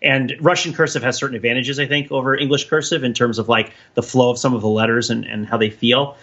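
Bright-voiced man thinking aloud, slightly dull audio. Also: medium pitch, steady tone, quick pace. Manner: discursive, thinking aloud, often tags points with "right?". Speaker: podcast co-host. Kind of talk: casual discursive podcast chat.

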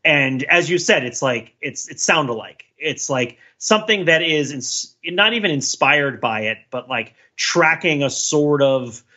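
Bright, forceful man thinking aloud. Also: on the high side, animated, a little slow, hardly any pauses, muffled recording. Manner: discursive, thinking aloud, hedging with many asides. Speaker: podcast co-host. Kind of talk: casual panel discussion, analytical.

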